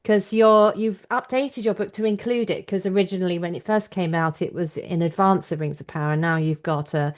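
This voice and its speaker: A woman's voice: lowish voice, steady tone, a little fast, few pauses. A woman hosting a podcast interview, conversationally offering her own opinions and questions.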